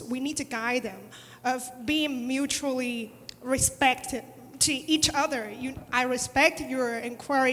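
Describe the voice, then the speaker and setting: A woman's voice: fairly high pitch, fairly bright tone. A woman attorney speaking on a conference panel, emphatic and repeating words as she presses her points. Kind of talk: panel discussion. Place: large conference hall. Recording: conference hall reverb.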